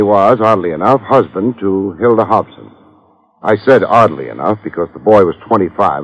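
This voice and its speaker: An actor playing a wry, hard-boiled male private investigator who narrates in slangy 1950s radio drama style. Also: low voice. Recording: dull old radio transcription with music.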